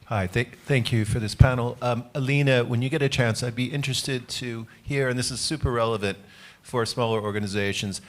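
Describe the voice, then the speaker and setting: A man's voice: medium pitch, steady tone, a little fast. A man from the United States asking a panelist a polite, earnest question from the audience.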